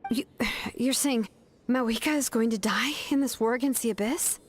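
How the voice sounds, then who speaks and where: A woman's voice: on the high side, moderate pace, slight background noise, lightly acted. A woman voicing an earnest, selfless young adventurer in a video game, sincere and heartfelt. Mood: shocked, worried. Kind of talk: scripted video game voice acting.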